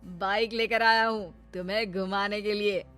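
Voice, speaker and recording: A woman's voice: mid-range voice, fairly even intonation, a little slow, a bit bright, faint music behind, natural voice. A woman voicing a proud, cheerful young village husband in a story, hearty and teasing.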